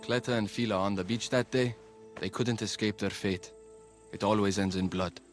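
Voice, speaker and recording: A man playing a gentle, brooding young father in a video game cutscene, soft and earnest. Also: lowish voice, steady tone, moderate pace, soft background music, natural voice.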